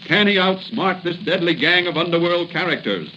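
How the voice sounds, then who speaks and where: A man's voice: sits high, expressive, moderate pace, sharp tone. A man narrating as a formal, dramatic 1940s radio announcer. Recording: hissy, dull 1940 radio recording.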